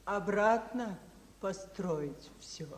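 Weary, very slow-speaking refugee woman. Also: medium pitch. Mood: weary grief. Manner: halting, weary, quiet speech. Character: exhausted, grieving wartime refugee woman from a burned city. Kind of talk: Soviet radio drama acting.

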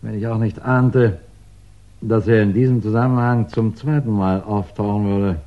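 An actor playing a measured, authoritative male chief inspector in a 1960s German radio crime play. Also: on the low side, fairly even intonation, lightly acted. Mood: pensive. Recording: dull 1960s radio recording.

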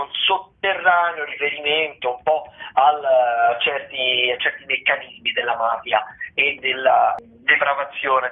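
Through a narrow, phone-like radio signal comes a man with a medium-pitched voice. He presents listings in a loose, semi-improvised way. His delivery halts and stretches on certain words as he searches for the next phrase. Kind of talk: semi-improvised spoken radio rundown of theatre shows. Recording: thin phone-like band-limited radio sound.

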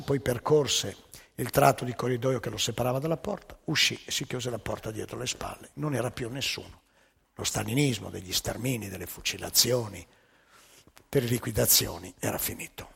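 A man telling satirical anecdotes as a stage monologue, with dramatic pauses and emphatic delivery. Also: medium pitch, gravelly, slightly rough. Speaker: stage storyteller. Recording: live theatre hall with long reverb.

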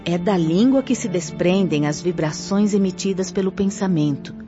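An actress playing a gentle, solemn female spirit teacher in a radio drama, with a Brazilian accent and reverent, declamatory phrasing. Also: on the low side, normal speed, natural voice. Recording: dull lo-fi audio under music.

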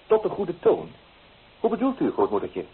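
A man's voice: sits high, huge pitch swings, normal speed, slightly rough, exaggerated voice. A man playing a teasing, flamboyant young bohemian painter in a radio play, theatrical and playfully mocking. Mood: playful, breezy. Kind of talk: Dutch radio play acting. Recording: tinny old radio recording with hiss.

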